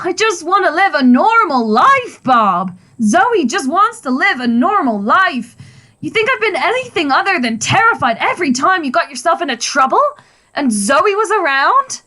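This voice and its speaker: A fed-up, sharp-tongued ex-wife and mother, played by a woman, with a high, bright voice. Her intonation swings widely, as radio drama acting. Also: exaggerated voice.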